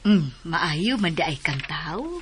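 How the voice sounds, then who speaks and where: A placating widowed mother in a Filipino radio drama, with a Cebuano accent. She speaks slowly and politely, with a lively, swooping melody over faint background noise. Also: low-pitched, heavily acted. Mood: warm, teasing. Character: calm, hospitable widowed mother smoothing over a quarrel.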